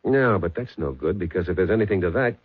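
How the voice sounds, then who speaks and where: An actor playing a persistent police sergeant with a clipped, probing way of questioning, in a 1970s radio drama. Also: male voice, deep voice, animated, fast, breathy edge. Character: persistent middle-aged male police sergeant. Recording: tinny old radio broadcast.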